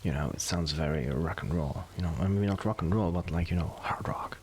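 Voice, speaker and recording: Low-pitched man, soft ASMR chat. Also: a little fast, over music. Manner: rambling, hesitant, self-deprecating. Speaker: ASMR roleplay host playing a musician friend. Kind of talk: soft ASMR roleplay, casual intimate chat.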